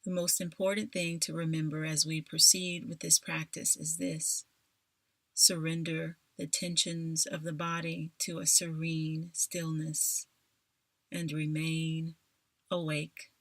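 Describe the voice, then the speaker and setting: Very slow, low, creaky-voiced woman guiding meditation. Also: level tone, no echo. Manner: soothing, deliberate, with long pauses. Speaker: meditation guide. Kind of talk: scripted guided meditation.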